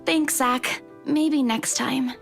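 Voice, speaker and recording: A woman acts out a timid, apologetic young woman from a visual novel. She speaks slowly in a high, breathy voice that creaks softly and hesitates. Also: faint music behind, heavily acted. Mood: demure. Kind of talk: visual novel dialogue read aloud.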